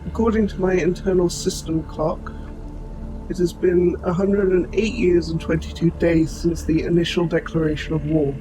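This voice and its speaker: A man playing a gentle, protective robot soldier in an audio roleplay, speaking to the listener in formal, precise, measured wording. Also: sits high, little pitch movement, close to an everyday voice, low-quality recording. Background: music.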